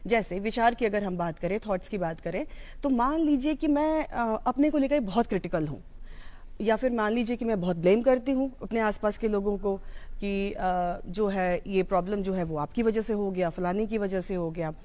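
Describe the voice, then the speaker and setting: A woman's voice: medium pitch, moderate pace, dark tone. A woman with an Indian accent giving an informal, explanatory educational talk, mixing in English terms. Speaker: psychology educator.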